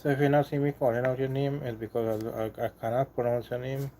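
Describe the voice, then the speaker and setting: Trini guy on a live stream, mellow voice, stumbles and repeats himself, a bit of faint noise behind. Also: mid-range voice, level tone. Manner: halting, repeats himself, apologetic then defiant. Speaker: livestream host. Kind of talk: casual live stream chat.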